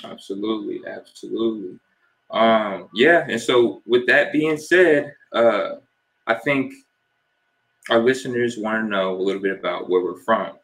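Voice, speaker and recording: A young man from New Jersey co-hosting a casual podcast, relaxed and easygoing as he gives shout-outs and asks questions. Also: on the low side, a little slow, low-quality recording. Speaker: podcast co-host.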